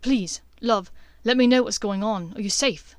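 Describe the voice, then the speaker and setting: A woman's voice: medium pitch, unhurried, dry recording, studio quality, lightly acted. A woman voicing a worried, lovesick young male wizard, urgent and intense, in an audiobook story. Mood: worried, pleading.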